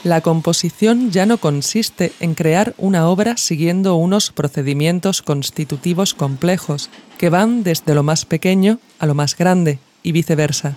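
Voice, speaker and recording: A narrator reads a scripted audio essay in a measured, reflective way, phrasing each thought deliberately. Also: medium pitch, a little mellow. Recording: music behind the voice. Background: music.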